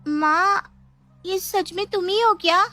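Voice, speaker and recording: A woman's voice: very high voice, slow, sharp tone, no echo, soft background music, pitched above the performer's own voice, exaggerated voice. A woman voicing a timid young daughter in a storybook drama, with a whiny, pleading manner. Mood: shocked. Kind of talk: Hindi moral storybook drama.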